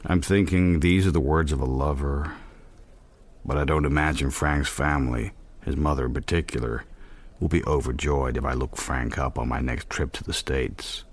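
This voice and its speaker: An actor playing a worldly, watchful man narrating a radio drama in the first person, introspective, measured and dry. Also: rumbling low, fairly even intonation. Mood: reflective. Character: worldly, reflective, watchful male narrator-protagonist.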